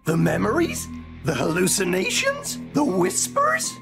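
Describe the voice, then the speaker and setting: A woman's voice: medium pitch, wildly swooping pitch, measured pace, gravelly, full character voice. Creepy cartoon villain, male monster played by a woman, insinuating, widely swinging intonation over music. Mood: sinister, coaxing. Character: creepy scheming male monster, eerily fascinated and persuasive.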